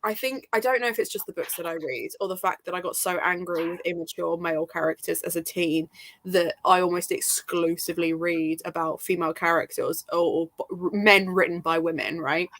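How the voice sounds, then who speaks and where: A woman chatting casually and emphatically about books in an informal, animated way, often checking in with the listener. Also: lowish voice, fairly quick. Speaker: book readalong panellist.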